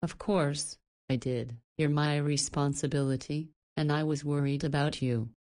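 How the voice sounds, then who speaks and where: A woman's voice: low voice, steady tone, a little slow, warm tone. An actress playing a caring, flirty woman in a visual novel, chiding and teasing like a doting guardian. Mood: concerned, warm.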